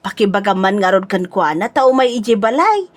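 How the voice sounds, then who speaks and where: A woman's voice: medium pitch, lively intonation, normal speed, close to an everyday voice. A woman playing a kindly, hospitable older auntie and mother in a comedy audio drama, homely and reassuring, with an Ilocano accent. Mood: inviting.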